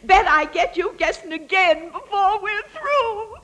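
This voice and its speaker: A woman's voice: very high-pitched, animated, unhurried, sharp tone, faint music behind, full character voice. An actress playing a gleeful, sly, eccentric old woman in a crowing, folksy manner in a 1940s radio drama. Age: older. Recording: vintage radio broadcast recording.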